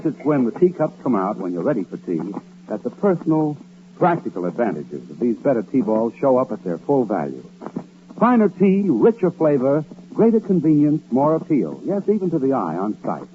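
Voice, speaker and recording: Male commercial announcer, medium pitch, emphatic and very varied, on a thin old radio recording. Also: big pitch swings, normal speed, narrow, tinny audio. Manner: emphatic, polished salesmanship. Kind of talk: 1940s radio advertisement. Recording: thin, noisy, humming vintage radio recording.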